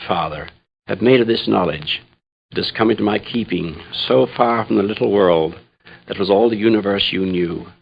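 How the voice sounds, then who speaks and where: Radio drama lead: grave priest-astrophysicist in spiritual doubt, husky and low, reflective and measured delivery. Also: male voice, lo-fi sound. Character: troubled, grave Jesuit astrophysicist priest aboard a starship. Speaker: dramatic narrator. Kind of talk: first-person radio drama monologue.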